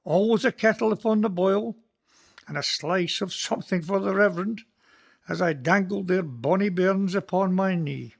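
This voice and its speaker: An actor with a Scottish accent playing a kindly, earnest Presbyterian minister in a community radio play, speaking in a measured, sermon-like way. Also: male voice, sits high, creaky. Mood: fond. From Scotland.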